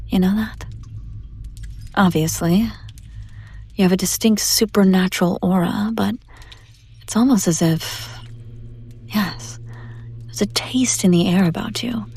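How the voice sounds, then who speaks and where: A woman playing a seductive, domineering demon in an intimate audio roleplay, teasing and condescending, often slipping into breathy whispers. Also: mid-range voice, a little mellow. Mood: musing, puzzled. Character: seductive, domineering female demon buyer taunting a captive angel. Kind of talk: intimate scripted audio roleplay addressed to the listener.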